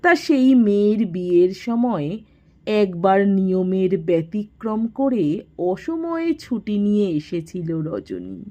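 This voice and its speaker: A woman narrating a literary story in a measured, expressive manner, in a slightly dull recording. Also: medium pitch.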